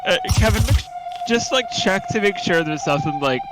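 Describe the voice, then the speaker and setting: A man co-hosts a casual livestream over computer voice chat. His voice is on the high side and swings widely in pitch, and loud music plays behind him. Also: animated, normal speed, poor audio. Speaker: stream co-host.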